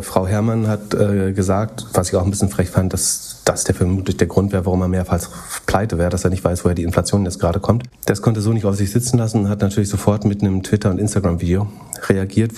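A man's voice: low voice, warm tone. A man co-hosting a podcast in casual chat, talking while he has a cold, with many hesitant fillers and dry sarcasm. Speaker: podcast co-host.

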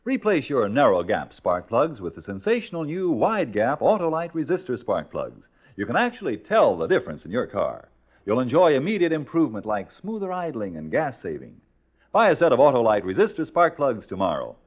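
A man's voice: mid-range voice, wildly swooping pitch, a little fast. An American man, a radio announcer, delivering polished scripted sales copy and credits in 1940s style. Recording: muffled 1940s radio, music behind.

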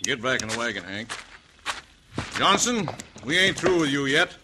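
A man's voice: mid-range voice, very animated, normal speed, lightly acted. An actor playing a stern, stubborn middle-aged rancher and father in an old radio western, with a Western drawl. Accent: American Western drawl. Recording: noisy old radio show recording.

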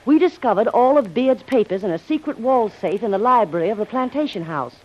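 An actress playing a plucky, earnest young heroine in old radio drama style. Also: female voice, fairly high pitch, lively intonation, brisk. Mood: urgent, concerned. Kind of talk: 1940s radio acting. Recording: dull old radio recording.

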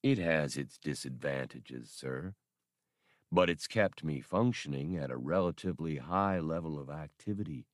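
A man reading an audiobook, voicing an old male labor droid who speaks in a polite, formal, slow drawl. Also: very deep voice, animated, dry recording, clean studio sound, pitched below the performer's own voice. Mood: calm. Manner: formal, deferential.

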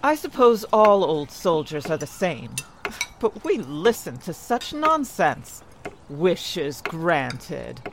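A woman acting a fond, practical older wife and mother in an audio drama, gently chiding and fretful. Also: fairly low pitch, big pitch swings, slow pace, exaggerated voice. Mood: fondly scolding. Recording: audible background noise with clicks.

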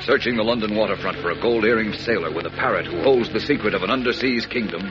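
A man's voice: fairly low pitch, quick pace. Male narrator for old-time radio adventure, dramatic scripted read, mid-range voice, strong music bed, dull fidelity. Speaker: announcer and narrator. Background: loud music.

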